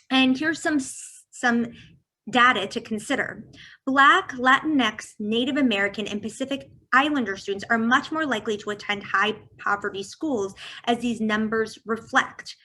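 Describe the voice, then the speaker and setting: A woman from California giving a prepared talk as guest speaker, walking through figures on slides in a measured way. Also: on the high side, sharp tone.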